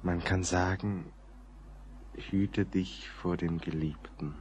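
An actor playing a courteous, rational gentleman writer in a radio drama, speaking in a formal, earnest way. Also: low voice, steady tone, slow, muffled recording, a little hiss or hum. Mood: gentle, solemn. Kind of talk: German radio play acting.

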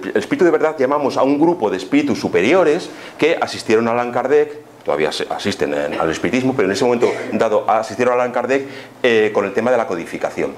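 A man with a Spanish accent from Spain speaks at a middling pitch, explaining things to listeners like a teacher. His delivery is informal and didactic, punctuated by rhetorical questions. A light layer of background noise sits behind the voice. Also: very animated, fast talker. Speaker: lecturer on spiritism. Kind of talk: informal explanatory lecture to an audience.

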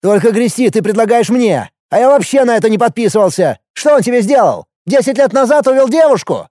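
Man voicing an agitated, high-pitched younger brother. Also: animated, moderate pace, mellow, no room sound, studio quality. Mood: scornful, heated. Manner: agitated, scolding. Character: anxious, exasperated younger brother, male medical student, sharp-tongued.

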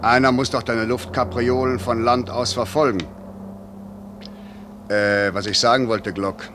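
An actor playing a stern, deliberate airline chief, paternal but official, in a 1950s German radio play. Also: male voice, fairly even intonation, close to an everyday voice. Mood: measured, official. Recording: noisy old radio recording, vehicle rumble.

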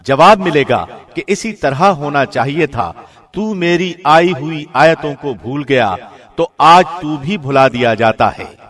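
Higher-pitched man reading scripture formally. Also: lively intonation, fairly bright tone. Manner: measured, formal announcer delivery. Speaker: translation reader.

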